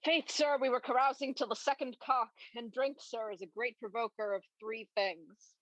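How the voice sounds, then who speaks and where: A woman's voice: a little high, fairly even intonation, moderate pace, fairly bright tone, dry recording, lightly acted, low-quality recording. A young woman playing a drunken, bawdy, grumbling castle porter in a comic amateur Shakespeare monologue with exaggerated, tipsy delivery. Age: young adult.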